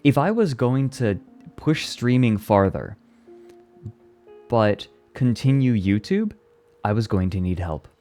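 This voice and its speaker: A man's voice: fairly low pitch, expressive, slow, long pauses, darkish tone, soft background music. A young man chatting casually on a live stream, reading and answering his chat and laughing often. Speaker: VTuber streamer.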